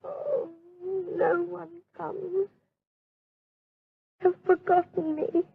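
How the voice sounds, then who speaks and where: Woman acting a high, fragile gothic heroine. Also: steady tone, extremely slow, gravelly, noisy background, full character voice. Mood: weeping, despairing. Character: distressed, fragile gothic heroine, pious and fearful. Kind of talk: gothic audio drama acting. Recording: tinny band-limited radio drama.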